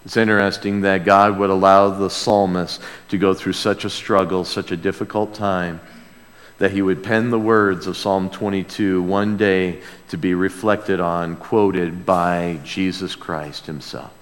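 A man preaching a sermon as a pastor, earnest and emphatic, moving between hushed lines and loud exclamation, with rhetorical questions to the congregation. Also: low-pitched, level tone, a little slow. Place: large reverberant room, preaching to a congregation. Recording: long hall reverb.

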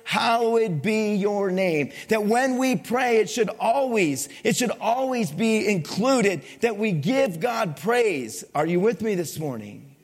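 A male pastor preaching a sermon to his congregation, asking it questions and swinging between loud emphasis and soft, low lines. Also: lively intonation, takes it slowly, hardly any pauses, breathy edge. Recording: long hall reverb.

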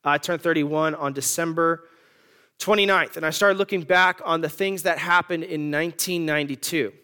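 A man's voice: fairly high pitch, steady tone, moderate pace, bright, forceful tone, clear, resonant tone. A man in his thirties preaching a sermon on marriage in a conversational, joking way, asking the congregation questions. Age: 30s. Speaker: pastor.

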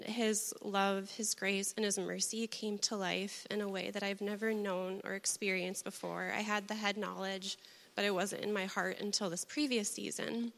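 Woman, gravelly voice, earnest read-aloud testimony, reverberant church hall. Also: mid-range voice, a bit bright. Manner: earnest, reading from notes. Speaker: baptism candidate giving testimony. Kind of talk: reading a prepared testimony. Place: church sanctuary. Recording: echoey hall with long reverb.

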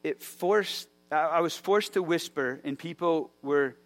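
A man delivering a sermon in a loose, conversational way, often restarting his sentences and leaning on fillers. His voice sits a bit higher than most men's, and he keeps the tone relaxed even as he tells the story with energy. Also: unhurried, faint music behind. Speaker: pastor.

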